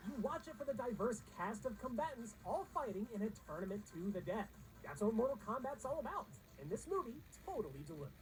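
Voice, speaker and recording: A woman's voice: a little high, very animated, fast talker, hardly any pauses, a little mellow, a little hiss or hum, low-quality recording. A woman narrating a scripted pop-culture countdown in an emphatic, slangy, wry manner. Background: music under the voice-over.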